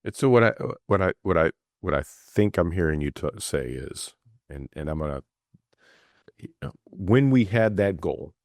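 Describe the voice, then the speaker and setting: A man's voice: deep voice, animated, normal speed, no room sound, clean studio sound. A man co-hosting a finance podcast, chatting casually and repeating words as he works toward his point. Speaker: podcast co-host, financial planner. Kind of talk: casual conversational chat.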